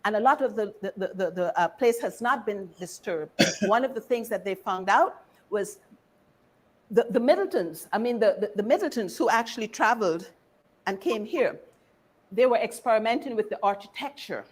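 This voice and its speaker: A woman from Barbados speaks as a history lecturer. Her voice sits at a medium pitch. She is deliberate and engaging, addressing her listeners directly in an informal talk. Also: animated.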